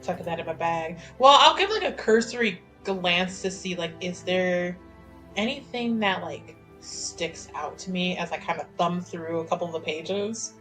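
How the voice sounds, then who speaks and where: A woman's voice: fairly low pitch, lively intonation, moderate pace, a bit bright, poor audio. A woman playing a tabletop roleplaying game, thinking aloud informally as she describes what her character does. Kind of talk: casual improvised roleplay chat. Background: background music.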